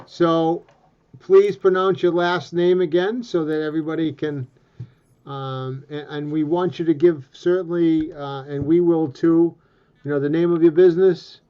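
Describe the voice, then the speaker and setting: A man's voice: fairly high pitch, steady tone, measured pace. Man on dull lo-fi call audio. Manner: rambling, stop-start questions. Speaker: host, interviewer. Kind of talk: casual interview on a video call.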